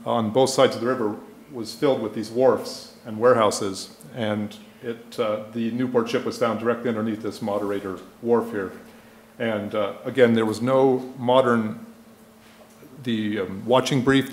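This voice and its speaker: An echoey indoor recording of a middle-aged man presenting at a conference. He speaks at an ordinary male pitch into a lectern microphone. His delivery is explanatory and informal, with pauses as he searches for the next phrase. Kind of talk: public lecture with slides. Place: indoor lecture room. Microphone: gooseneck lectern microphone. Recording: echoey room sound.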